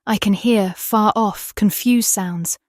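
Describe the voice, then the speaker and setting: A gentle young woman speaks as if entranced, in slow, dreamy phrases performed by an actress. It is gothic audiobook drama, recorded very dry with no room around the voice. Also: medium pitch, dry recording, natural voice. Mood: entranced, eerie. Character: gentle young married woman in hypnotic trance.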